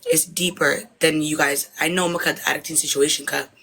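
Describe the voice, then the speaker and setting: A woman's voice: low-pitched, fairly even intonation, a little fast, vocal fry, background noise. A woman in her twenties, an interviewee from Somalia, talking hesitantly and switching between Somali and English mid-sentence. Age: 20s. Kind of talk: casual conversation.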